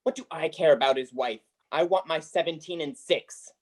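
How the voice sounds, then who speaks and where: An actor playing a grim, irritable old miser in a radio play, barking and scornful in manner. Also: male voice, a little high, normal speed, close to an everyday voice. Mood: irritable, commanding.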